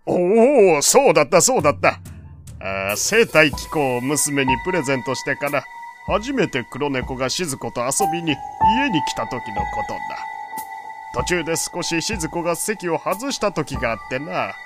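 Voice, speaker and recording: A man's voice: fairly high pitch, very animated, normal speed, loud music behind the voice. An actor playing a proud, doting, wealthy old father who talks in pompous old-fashioned speech in an audio drama. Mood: fond, proud. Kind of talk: Japanese audio drama acting.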